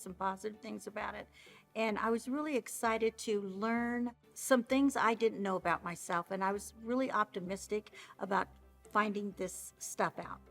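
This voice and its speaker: A woman from the United States speaking casually in an interview as a show participant. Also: a little high. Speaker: reading client, film editor.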